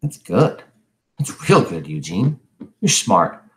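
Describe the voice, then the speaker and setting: A man's voice: on the low side, lively intonation, a little fast, lightly acted. Man acting troubled, hot-tempered older brother. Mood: soft, remorseful. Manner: blunt, emotional, streetwise.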